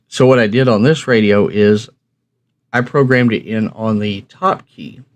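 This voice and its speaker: Low-pitched man, casual, folksy explainer. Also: a little slow. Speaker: host of a radio review channel. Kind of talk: casual instructional chat to viewers.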